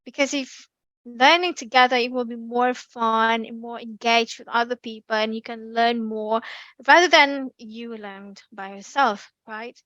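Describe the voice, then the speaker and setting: A young woman with an Indonesian accent, giving a lively online class talk with many ums and restarts. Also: a little high, a little slow, no echo, rough recording. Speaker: community speaking presenter. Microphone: computer mic on a video call.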